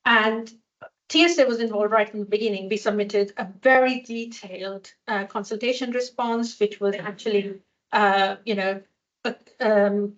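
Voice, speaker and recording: A woman from a patient charity giving a conference talk in a hospital lecture hall. She pauses often, with many fillers and restarts. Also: mid-range voice. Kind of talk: conference presentation. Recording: dull lo-fi sound.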